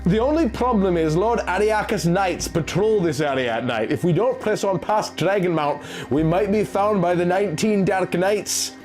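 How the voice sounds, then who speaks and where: An anxious fantasy companion, as a male narrator puts him on, bossy and urgent. The voice sits high and swoops with alarm, over faint music in a storybook reading. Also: lively intonation, normal speed, few pauses, darkish tone. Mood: worried, urgent. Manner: urgent, exclamatory.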